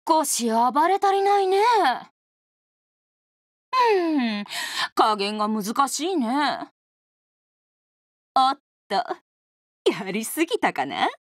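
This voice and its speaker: A bold female oni for a video game, high and swinging widely in pitch. She talks rough and tomboyish, with the easy swagger of a hard drinker who loves a fight. The sound is close and dry. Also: very animated, very slow, pauses often, no echo, heavily acted. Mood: casual, musing. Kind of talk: video game character voice lines.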